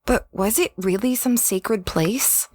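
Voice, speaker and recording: A woman's voice: on the high side, takes it slowly, darkish tone, no echo. A woman playing an apologetic young female space adventurer in an audio drama, hesitant and self-effacing. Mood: reflective. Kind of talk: audio drama dialogue and diary-like narration.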